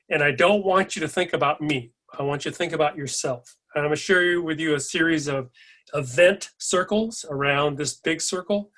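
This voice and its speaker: A man around seventy from California giving a measured, reflective conference presentation to an audience of educators. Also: on the high side, sharp tone. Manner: measured, reflective, thinks aloud with restarts. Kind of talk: conference talk.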